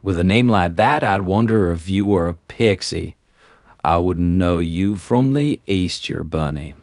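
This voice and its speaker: A blunt, defiant human starship commander, as a male narrator plays him speaks in a deep, gravelly, unhurried voice. He needles his captors with dry jabs. The recording is close and dry. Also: darkish tone, no room sound. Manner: blunt, needling, tough-talking. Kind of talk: audiobook character voice.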